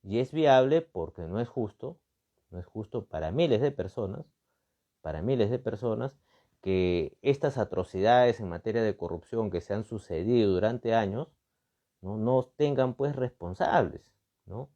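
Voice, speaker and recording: A man's voice: on the low side, lively intonation, normal speed, mellow, muffled recording. A man from Peru with a Peruvian accent gives off-the-cuff analytical commentary, emphatically repeating phrases and posing rhetorical questions with frequent tag words. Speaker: political and constitutional commentator. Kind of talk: extemporaneous analytical talk.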